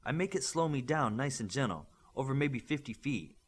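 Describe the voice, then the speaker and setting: A man's voice: medium pitch, animated, moderate pace, slightly rough. Eager, competitive boy hero, read by a man, earnest and plain-spoken, for adventure audiobook. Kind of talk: audiobook character voice.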